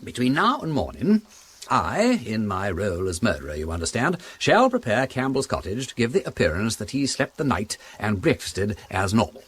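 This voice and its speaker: Aristocratic English detective, wildly animated, slightly husky, whimsical, bossy, theatrical radio drama. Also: male voice, fairly low pitch, normal speed. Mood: breezy, explaining. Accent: upper-class English. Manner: whimsical, wordy, commanding. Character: eccentric, playful English aristocrat amateur detective, energetic and theatrical.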